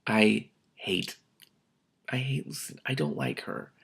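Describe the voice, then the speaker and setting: Guy w kinda low voice reading a book out loud, reacting casually, exasperated asides. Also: slow pace, creaky, slightly rough. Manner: expressive, exasperated asides with swearing. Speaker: host reading a novel aloud with commentary.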